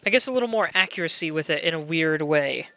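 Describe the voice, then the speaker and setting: A young adult game streamer with a loud voice, chatting casually and excitably while playing and greeting viewers. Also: mid-range voice, fast talker. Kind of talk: casual live gameplay chat.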